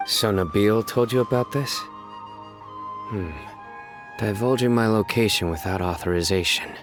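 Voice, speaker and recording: A man voicing a serious young lawman in a video game, calm and clipped. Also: fairly low pitch, moderate pace, music in the background, natural voice. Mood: stern.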